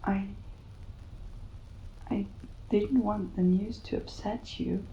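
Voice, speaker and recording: A woman playing a desperate, unstable mother in an audio drama, halting, pleading and tearful. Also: mid-range voice, fairly even intonation, warm tone, roomy sound, lo-fi sound, slight background noise. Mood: anxious pleading. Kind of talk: cinematic audio drama acting.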